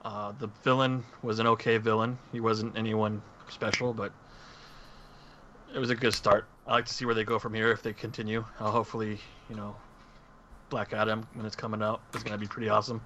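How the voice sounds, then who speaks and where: A man's voice: lowish voice, flat delivery, brisk, pushed and bright, highs cut off, a little hiss or hum. A man co-hosting a podcast, sharing his opinions in casual chat. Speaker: podcast co-host.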